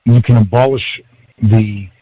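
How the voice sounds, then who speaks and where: Man, fairly low pitch, dull call audio. Casual participant, halting and self-correcting. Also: fairly even intonation, dry recording. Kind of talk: casual personal account on a conference call. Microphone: phone call. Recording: dull lo-fi conference line.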